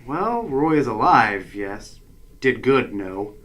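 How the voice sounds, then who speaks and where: An actor playing the exasperated, sarcastic voice of reason in a hero's head in a comedic radio drama. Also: male voice, mid-range voice, very animated, slow pace, a bit bright, heavily acted. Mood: scolding. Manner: sarcastic, wry, worrying. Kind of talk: comedic sci-fi radio drama narration and dialogue. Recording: clear background noise.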